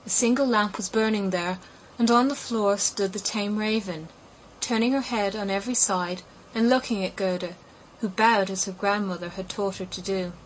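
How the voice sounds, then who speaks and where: A woman narrates a children's fairy tale in a mid-pitched voice. Her scripted reading is expressive, and faint noise sits behind it. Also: moderate pace, poor audio. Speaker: audiobook narrator. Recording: clear background noise.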